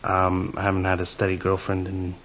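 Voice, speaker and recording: A Canadian man in his thirties, a reality show contestant, talking haltingly with chuckles in a confessional interview. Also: low voice, completely flat delivery, a bit bright, slight background noise. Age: 30s. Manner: chuckling, halting, self-deprecating.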